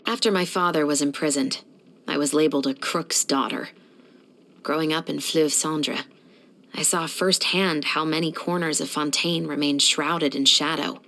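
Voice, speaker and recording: A young female captain of a law enforcement patrol in a video game. Her voice sits a little low for a woman. She is stern and principled, delivering scripted lines with crisp, composed authority and earnest conviction. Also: steady tone, moderate pace, sharp tone. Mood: grave, earnest. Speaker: video game character voice actor.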